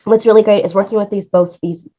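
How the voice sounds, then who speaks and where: A woman's voice: medium pitch, steady tone, fast, warm tone, lo-fi sound. A woman talking enthusiastically and conversationally as an interview guest about her creative work. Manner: enthusiastic, effusive. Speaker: interview guest, radio play producer and music supervisor.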